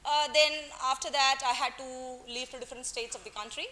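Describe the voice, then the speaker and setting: Woman, bright tense voice, playful teacher, classroom lecture. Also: sits high, steady tone, fairly quick. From Kerala, India. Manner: playful, teasing, coaxing students, mixes in Hindi words. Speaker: economics teacher.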